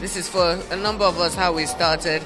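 A woman's voice: on the low side, steady tone, a little fast, over music. A woman talking thoughtfully and conversationally in a press interview. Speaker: actress. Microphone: handheld reporter's mic.

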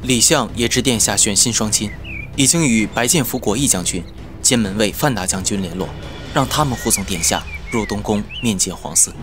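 A man's voice: lowish voice, fairly quick, over music, lightly acted. A man playing a discreet, cautious court envoy in a historical audio drama, formal and careful. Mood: grave, urgent.

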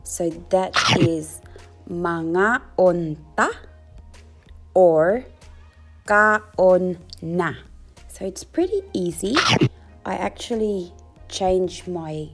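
A relaxed woman in her thirties talks through her breakfast at home, drifting from thought to thought with plenty of ums. Her voice sits a little low and carries a gravelly fry, picked up by a phone on a tripod, with faint music playing behind her. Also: extremely slow, pauses often. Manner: relaxed, rambling, many ums. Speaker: food vlogger. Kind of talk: casual chatty cooking vlog. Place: home kitchen and dining table indoors.

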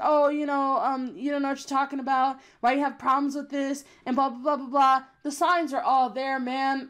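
A young adult woman with a youthful voice, delivering a blunt, emphatic, unscripted commentary rant with frequent swearing. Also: high-pitched. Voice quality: young-sounding voice. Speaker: YouTube commentator.

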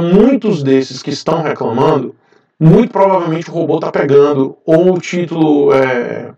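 A man's voice: a little high, roomy sound, recorded from a distance. A man in his thirties from Brazil, hosting a casual motorcycle vlog, rambling with frequent fillers and restarts. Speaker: motovlogger, channel host. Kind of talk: casual talking-head vlog. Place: indoor garage with motorcycles. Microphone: lapel mic.

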